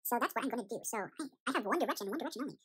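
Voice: mid-range voice, expressive, racing, a bit bright, breathy edge. A performer voicing a panicky, bewildered young chipmunk boy in a cartoon fan story, rambling and repeating themselves in an anxious, dramatic way. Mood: confused musing.

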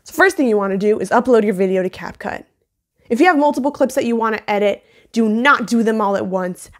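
A young adult woman hosting a casual video tutorial in a playful, self-deprecating manner, recorded on a phone in a room at home. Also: mid-range voice. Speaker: tutorial host. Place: small indoor home room. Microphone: phone on a mount, about arm's length or a little more.

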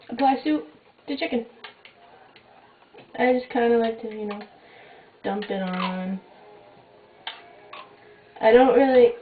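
Young woman, wry home cooking host, tinny echoey camcorder sound with hiss. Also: a little high. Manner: wry, self-mocking, offhand asides. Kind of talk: casual jokey cooking demo. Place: small home kitchen at night. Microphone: fixed camera mic across the kitchen.

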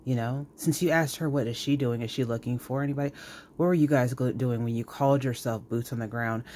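A man's voice: mid-range voice, steady tone, fairly quick, a little mellow, slight background noise. A man hosting reaction commentary, scolding emphatically and repeating words for effect. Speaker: commentary host.

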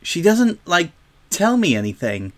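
A man voicing a young, prim pony girl who is defensive and vulnerable, speaking haltingly in a storybook. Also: sits high, wildly swooping pitch, a bit bright, pitched above the performer's own voice, full character voice. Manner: hesitant, halting. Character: haughty young filly turned sad, defensive, vulnerable.

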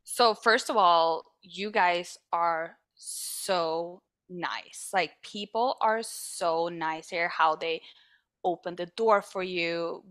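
Chatty Swedish-accented young woman. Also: lowish voice. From northern Sweden. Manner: animated, laughs often, acts out quoted voices. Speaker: podcast guest. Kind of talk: casual interview chat.

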